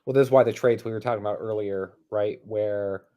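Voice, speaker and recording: A man's voice: lowish voice, fairly even intonation, a little fast, warm tone. A man co-commentating casually on a basketball game, blunt and slangy, with opinions and some swearing. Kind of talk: casual chat and live game reactions.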